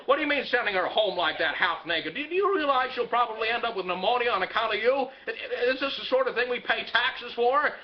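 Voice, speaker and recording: A man's voice: mid-range voice, a little fast, voice pushed down, natural voice. Brief: man playing a stammering, harried dad, slightly muffled recording. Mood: angry. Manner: stammering, repeating words. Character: desperate, frazzled middle-aged family man.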